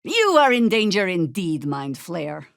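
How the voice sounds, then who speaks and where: A seasoned battle-worn adventurer lady for a video game, wry and measured, lowish voice, dry close sound. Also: big pitch swings, vocal fry, no echo, exaggerated voice. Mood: stern, earnest. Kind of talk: video game character dialogue and spell calls.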